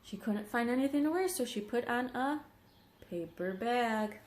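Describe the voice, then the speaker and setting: A woman's voice: on the high side, lively intonation, moderate pace, a little mellow, slight background noise. A woman teacher reading a story aloud to young children, explaining things simply and checking in with questions. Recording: roomy, echoing home recording.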